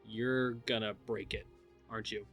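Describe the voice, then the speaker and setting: A man's voice: on the low side, steady tone, moderate pace, a bit bright, soft background music. A man in casual chat, reacting dryly with mock disbelief as a co-host. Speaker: co-host reacting and asking questions.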